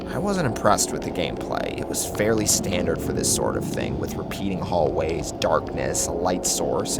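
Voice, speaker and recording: A man's voice: low voice, vocal fry. A man reading a scripted first-person horror story as a narrator, with a dramatic, theatrical delivery. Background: loud music.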